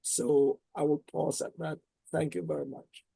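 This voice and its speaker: A man speaks slowly and deliberately, with a gravelly, husky and mellow tone. The sound is dry and close. He introduces himself formally as a professor on a panel. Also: fairly high pitch, little pitch movement, dry recording, poor audio. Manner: slow, measured, with pauses.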